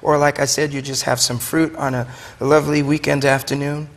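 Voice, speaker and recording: A man's voice: medium pitch, level tone, brisk, a little hiss or hum. A man with a Brooklyn accent explaining things in a chatty, enthusiastic, conversational way as an interview guest. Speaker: wine shop owner, interview guest. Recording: long hollow room reverb.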